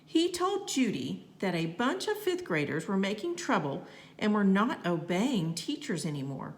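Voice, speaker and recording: A woman narrates a children's novel as a read-aloud. Her pitch is mid-range with lively rises and falls, and her phrasing is expressive and deliberate. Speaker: storybook reader.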